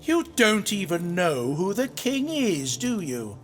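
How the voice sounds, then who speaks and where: A flamboyant, defiant adventurer in an audio drama. His voice sits high and swoops theatrically, full of impudent questioning, with music behind it. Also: very animated, takes it slowly, fairly bright tone, exaggerated voice. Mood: probing, impudent. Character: flamboyant, defiant time-travelling adventurer in jester-like clothing.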